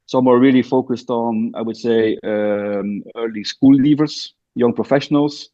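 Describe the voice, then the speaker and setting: Belgian man, podcast guest, halting and filler-heavy, average pitch, muffled lo-fi call audio. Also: fairly even intonation, warm tone. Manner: hesitant, many fillers and restarts. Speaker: guest, co-living founder. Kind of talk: podcast interview, explaining. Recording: dull lo-fi remote audio.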